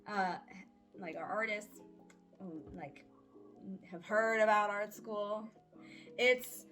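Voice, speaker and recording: A woman's voice: mid-range voice, slow pace, a bit bright, soft background music. A woman in her early twenties giving a casual personal update in an awkward, self-deprecating, giggly, rambling way. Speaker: audio roleplay voice creator and vlogger.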